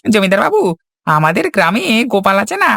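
A man voicing a cheeky, wheedling servant of a village landlord in an animated story. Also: high voice, brisk, vocal fry, no room sound, clean studio sound, heavily acted. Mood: teasing. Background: faint background music.

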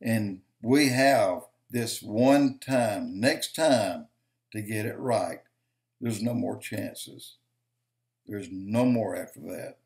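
An older man giving slow, emphatic preaching-style commentary to a webcam in his home office, counting off points and repeating lines for stress. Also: fairly low pitch, a little mellow. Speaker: video commentator.

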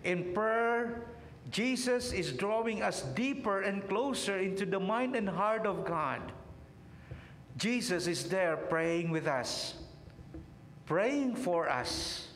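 A man in his thirties delivers a sermon from a church pulpit in a high, unhurried voice, dropping low at the end of each phrase. The stand mic picks up a big, roomy church reverb. A faint rumble sits underneath. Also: animated, a bit bright. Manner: slow, measured preaching with phrases falling away at the ends. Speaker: priest. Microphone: pulpit microphone on a stand.

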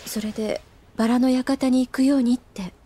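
A high, ladylike voice as a senior schoolgirl. She is poised and aloof, speaking in anime audio drama style with music in the background. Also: fairly even intonation, normal speed, warm tone. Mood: cool, composed.

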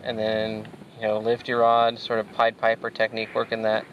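Kayak angler explaining on open water. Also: male voice, on the low side, normal speed, dull audio, slight background noise. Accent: American Pacific Northwest. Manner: relaxed, explanatory, with frequent fillers. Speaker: fishing show host. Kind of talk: casual instructional commentary. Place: outdoors on a kayak on a lake. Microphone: action camera mounted on the kayak.

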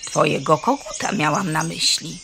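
A woman's voice: sits low, lively intonation, moderate pace, creaky, noisy background. A woman playing a gossipy, frightened farmyard hen in a children's audio play, melodramatic and full of stuttering clucks. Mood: nosy.

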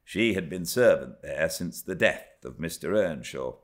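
A middle-aged British man reading a classic novel aloud as an expressive narrator. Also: low voice, measured pace. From Britain. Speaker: audiobook narrator. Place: armchair by a fireplace in a living room.

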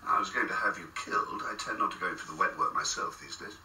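An actor playing a coolly menacing male intelligence boss in a radio drama, measured, dry and deadpan. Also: low voice. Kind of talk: naturalistic radio drama acting. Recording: echoey room, slightly distant, faint noise.

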